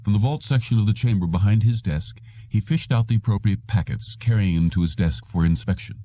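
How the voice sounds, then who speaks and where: A man's voice: on the low side, brisk, mellow. A man reading a story aloud as an audiobook narrator, in scripted narration. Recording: roomy, dull lo-fi audio.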